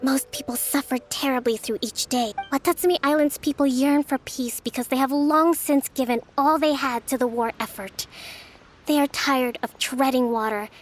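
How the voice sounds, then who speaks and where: A woman playing a gentle, dutiful young priestess and leader in a video game, speaking in formal, measured phrases. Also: high voice, soft background music, close to an everyday voice. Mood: grave, regretful. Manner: formal, measured, composed diction. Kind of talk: video game character acting.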